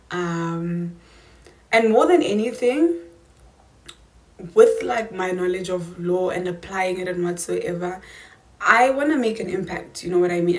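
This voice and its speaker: A twenty-year-old woman with a South African accent, chatting emphatically to camera in a casual Q&A vlog from a small room. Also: lowish voice. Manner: chatty, emphatic, slangy asides. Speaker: YouTuber.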